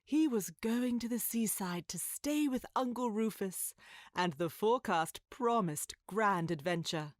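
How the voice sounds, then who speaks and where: A woman's voice: medium pitch, animated, a little slow, no echo, lightly acted. A woman narrating a scripted adventure story in an audio drama, in storybook style. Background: music.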